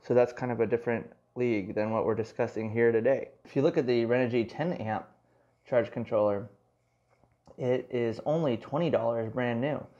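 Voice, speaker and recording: A man talks through a product review at a home table, chatty and full of asides. His voice is mellow and relaxed at a middle pitch. The sound is a little dull and lo-fi, as from a camera mic. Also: moderate pace. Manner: conversational, explains with many asides. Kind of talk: casual hands-on product review.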